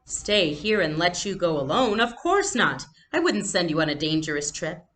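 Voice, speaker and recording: Woman reading as protective wartime father, decisive, earnest, deliberate, storybook read-aloud. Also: lowish voice, sing-song, fairly bright tone. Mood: earnest, firm.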